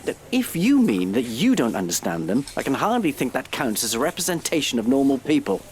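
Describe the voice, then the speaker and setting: An actor playing a well-meaning, educated family doctor with a British accent in an audio play, speaking in a hesitant, articulate, slightly prim way. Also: male voice, medium pitch, big pitch swings, brisk, darkish tone, slight background noise, lightly acted. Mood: exasperated. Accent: British English.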